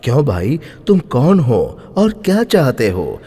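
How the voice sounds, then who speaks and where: A man voicing a devout, humble baker with a reverent, gentle manner in a narrated moral story. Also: mid-range voice, wildly swooping pitch, slow pace, breathy edge, heavily acted. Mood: polite. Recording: long hall-like reverb.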